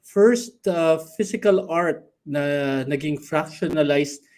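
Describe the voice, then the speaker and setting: Male co-host, higher pitch, loose rambling delivery mixing Tagalog and English. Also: takes it slowly, darkish tone. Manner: rambling, mixes Tagalog and English, many fillers. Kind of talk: casual livestream talk.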